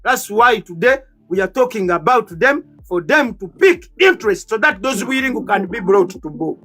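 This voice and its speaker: High bright-voiced accusatory man in his thirties. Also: lively intonation, normal speed, soft background music. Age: 30s. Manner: accusatory, fires rhetorical questions, emphatic repetition. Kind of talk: heated online political debate.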